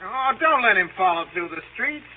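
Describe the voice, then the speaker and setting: Lovesick teenage boy, self-important and fretful, high pitched, old scratchy radio drama sound. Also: expressive, moderate pace. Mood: embarrassed, bossy. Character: self-conscious, lovesick seventeen-year-old boy, pompous and anxious. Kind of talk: 1930s radio acting. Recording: scratchy, tinny 1930s radio recording.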